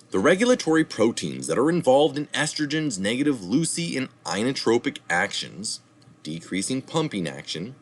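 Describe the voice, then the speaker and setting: A man reading a science article aloud, adding the citations and the odd slip or aside. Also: medium pitch. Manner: reads citations aloud with small slips and asides. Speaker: reader of health articles.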